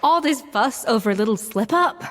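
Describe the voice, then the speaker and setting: An actress playing a proud, sharp-tongued head chef with dry, cutting wit. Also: female voice, on the high side, lively intonation, brisk, slight background noise, close to an everyday voice. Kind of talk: dubbed game dialogue. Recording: game audio, reverb, music under.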